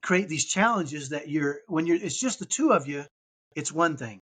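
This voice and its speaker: A man with a mild Texan accent, talking as a guest on a parenting podcast. His voice sits a little high for a man. He rambles and restarts, circling back with fillers as he goes. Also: expressive, moderate pace, fairly bright tone, breathy edge. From Texas. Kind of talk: casual interview chat.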